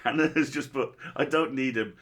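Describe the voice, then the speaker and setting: A man's voice: medium pitch, moderate pace, noisy background. A man hosting a casual livestream interview, chatting in an effusive, joking way. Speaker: livestream host.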